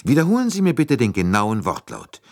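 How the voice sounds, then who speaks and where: A man's voice: mid-range voice, huge pitch swings, a little fast, dry recording, clean studio sound, voice pushed up. A man voicing a composed, methodical detective who questions courteously and precisely in an audiobook. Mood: precise, questioning. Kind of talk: audiobook character dialogue.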